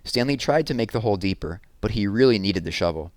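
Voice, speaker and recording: Low-voiced man reading a story aloud. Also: lively intonation, fast, dry recording. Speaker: read-aloud reader. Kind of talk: scripted storybook narration.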